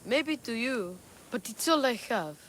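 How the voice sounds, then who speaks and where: Actress as a young hustler, defensive and eager; bright and lively, unhurried. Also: female voice, on the high side, a little hiss or hum. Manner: defensive, streetwise, a bit whiny. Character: young scheming male treasure hunter. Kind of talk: video game cutscene acting. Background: game music.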